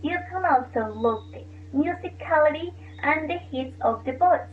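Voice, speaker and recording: A woman's voice: sits high, lively intonation, moderate pace, slight background noise. A woman student reading a class presentation aloud with careful delivery. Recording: tinny, roomy and distant.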